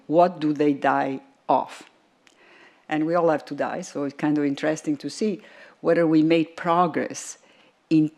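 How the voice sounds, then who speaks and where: A woman oncologist giving a conference talk in a wry, self-deprecating way, speaking deliberately. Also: low voice, a little mellow.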